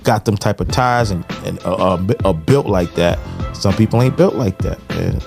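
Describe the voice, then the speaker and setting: Low-pitched middle-aged New man. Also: normal speed, gravelly, over music. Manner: slangy, frequent "you know what I'm saying" tags. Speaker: podcast co-host, music industry veteran. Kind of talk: casual podcast conversation.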